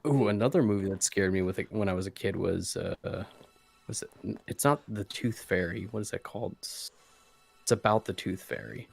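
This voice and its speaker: Lower-voiced man making jokey asides. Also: normal speed, creaky, soft background music. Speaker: stream guest. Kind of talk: casual chat.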